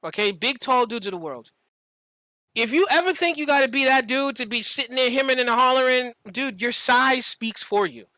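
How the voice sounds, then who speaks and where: A man's voice: very high-pitched, lively intonation, normal speed, pushed and bright, dry recording, rough recording. A man chatting casually as a podcast host, telling stories in an emphatic, profane way and repeating phrases for effect. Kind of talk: casual chat, animated storytelling.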